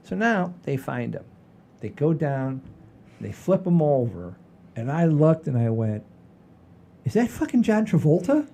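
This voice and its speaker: Mellow-voiced man in his sixties, casual storyteller. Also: fairly high pitch, very animated, a little slow, vocal fry, pauses often. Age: 60s. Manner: emphatic, repetitive, profane. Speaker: podcast host. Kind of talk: casual comedic storytelling chat.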